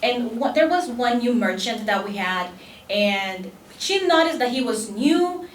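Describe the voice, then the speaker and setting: A Spanish-native woman from El Salvador speaks in earnest with a clear Salvadoran accent, her words broken by fillers and restarts. She is a conference panelist, heard from a distance in a roomy, echoing hall with a faint hum of room noise behind her. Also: fairly high pitch, animated, a little slow.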